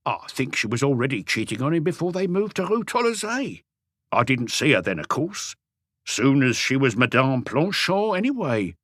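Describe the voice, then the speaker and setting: A man's voice: medium pitch, big pitch swings, moderate pace, a bit bright, no echo, clean studio sound, pitched above the performer's own voice. A man voicing a wry, evasive old house painter with colloquial speech, in an audiobook story. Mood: knowing, skeptical. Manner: colloquial, evasive, answers questions with questions. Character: cagey old Parisian workman, stocky house painter, wry.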